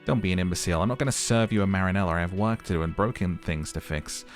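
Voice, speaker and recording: A man's voice: sits low, fast, faint music behind, natural voice. A man voicing a curt, dismissive cafeteria manager in a video game. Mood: irritated. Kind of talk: role-played video game dialogue.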